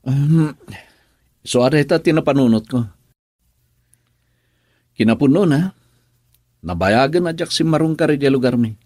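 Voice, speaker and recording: A troubled young husband in a radio drama, a man with an Ilocano accent. He talks hesitantly and musingly at a middling pitch, in a very dry, close sound. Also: a little mellow, dry recording. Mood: troubled, confiding. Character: troubled young married man confiding in an elder. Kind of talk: Filipino radio drama acting.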